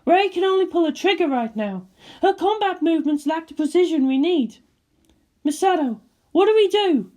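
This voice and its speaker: A woman's voice: extremely high pitch, lively intonation, normal speed, a little mellow, voice pushed up. A woman voicing a tense, analytical female scientist in an audio story, clipped and grimly urgent. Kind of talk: dramatic fan fiction reading.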